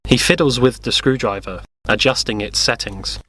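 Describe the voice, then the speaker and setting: A man's voice: mid-range voice, normal speed, fairly bright tone, husky. A man narrating a scripted audio drama parody as its storyteller.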